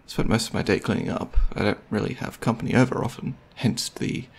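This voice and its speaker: Male actor for considerate, geeky young boyfriend, ASMR audio roleplay. Raspy, soft-spoken, conversational, slightly unsure of himself. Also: on the low side, a little fast. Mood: casual, wry. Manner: hesitant, soft-spoken, conversational, with mumbled asides. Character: gentle, nerdy, considerate young boyfriend on movie date.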